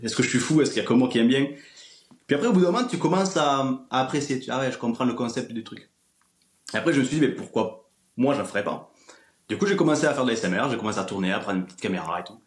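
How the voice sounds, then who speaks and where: A man's voice: medium pitch, lively intonation, quick pace. A man in his twenties from France chatting casually as a podcast guest, explaining things in an informal way. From France, small village. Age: 20s. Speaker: interview guest, ASMR video creator. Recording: roomy, echoey sound.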